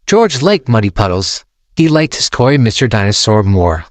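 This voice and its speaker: A man narrating a children's storybook aloud in an expressive, sing-song way, close to the mic. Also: lowish voice, normal speed, no echo.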